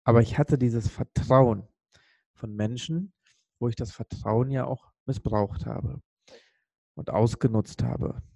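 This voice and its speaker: A man from Sri Lanka speaking earnestly and with emphasis on a motivational podcast, pausing for weight as he tells a personal story. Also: mid-range voice, vocal fry, mellow, no room sound.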